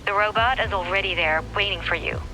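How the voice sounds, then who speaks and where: A woman voicing a composed, helpful guide in a video game, giving a clear scripted briefing. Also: mid-range voice, a little fast, pushed and bright, soft background music, close to an everyday voice, rough recording. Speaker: guide character briefing the player.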